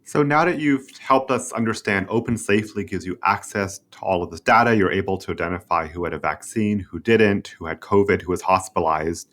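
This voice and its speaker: A man's voice: low-pitched, a little fast, few pauses, a bit bright. A man hosting a professional podcast interview, politely recapping points and putting questions. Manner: polite, recaps before asking.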